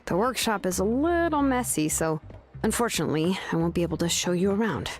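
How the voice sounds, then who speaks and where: An actress voicing a relaxed, frank young craftswoman and name engraver in a game, talking in an easygoing way. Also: mid-range voice, fairly quick, lightly acted. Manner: relaxed, casual storytelling. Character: young woman craftswoman, laid-back and frank. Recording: game audio with clear background music.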